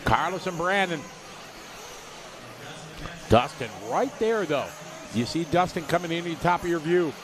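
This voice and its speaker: A man calling a radio-controlled car race live, giving quick play-by-play and reading out viewer messages. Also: fairly high pitch, lively intonation, a crowd in the background. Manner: rapid play-by-play, reads viewer chat aloud. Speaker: race commentator.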